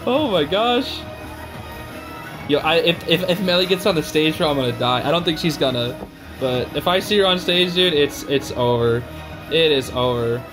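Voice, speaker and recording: A young man giving casual, excitable, slangy reaction commentary as a video host. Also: a little high, normal speed, poor audio. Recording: music playing behind the voice. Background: stage show music.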